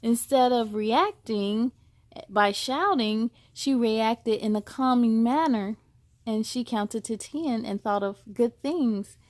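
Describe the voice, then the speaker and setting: A woman hosting a children's story time, speaking to young listeners in an expressive, encouraging, deliberate way. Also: a little high. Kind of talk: read-aloud with encouraging commentary to children.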